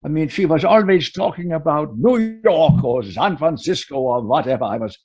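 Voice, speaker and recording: An actor playing an aggrieved old widowed farmer with a German accent and a husky, halting delivery in a Western radio drama. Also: male voice, fairly high pitch, expressive, a little mellow. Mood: hurt, defensive.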